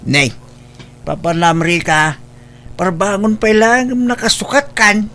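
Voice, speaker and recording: A woman's voice: medium pitch, expressive, takes it slowly, a bit bright, faint music behind. A woman playing a feisty, protective elderly grandmother in an Ilocano audio drama, with an Ilocano accent. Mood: fond, plainspoken.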